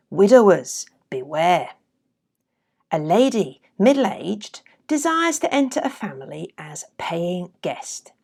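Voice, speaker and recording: Expressive woman reading, slow and measured. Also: medium pitch, creaky. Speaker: podcast host and reader. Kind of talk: scripted reading of old letters and adverts.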